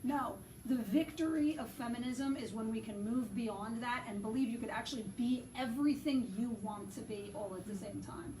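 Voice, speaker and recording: A woman's voice: a little high, moderate pace, few pauses, noisy background. Effusive woman director, distant and echoey. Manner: earnest and effusive, piling up words. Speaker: film director. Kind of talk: enthusiastic interview answers. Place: large public building.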